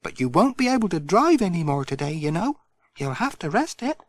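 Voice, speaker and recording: A storyteller voicing a kindly, motherly farmer's wife who talks briskly and caringly. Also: mid-range voice, big pitch swings, dry recording, voice pushed up.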